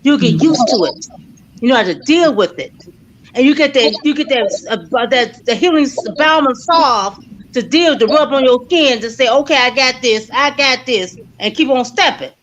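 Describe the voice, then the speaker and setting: A woman's voice: high voice, lively intonation, moderate pace, a little hiss or hum. A middle-aged woman in casual group chat, lecturing insistently and repeating herself to drive a point home. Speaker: viewing-party panelist. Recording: slightly dull lo-fi stream audio.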